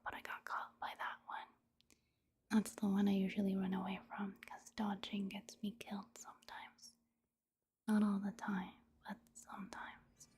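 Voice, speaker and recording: A woman giving casual, gentle ASMR commentary on a video game in a hushed, breathy whisper, unhurried and soft-spoken. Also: medium pitch.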